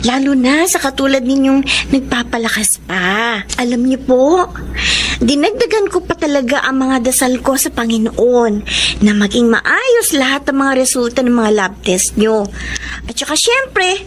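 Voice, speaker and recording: A woman's voice: high voice, expressive, unhurried, slight background noise. A woman playing a caring, earnest young grandchild in a Filipino radio drama. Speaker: drama actor.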